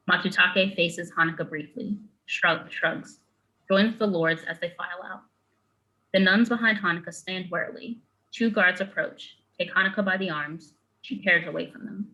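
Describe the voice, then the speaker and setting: A woman's voice: lowish voice, pushed and bright, low-quality recording. A woman narrating a screenplay, reading out scene headings and stage directions as scripted narration.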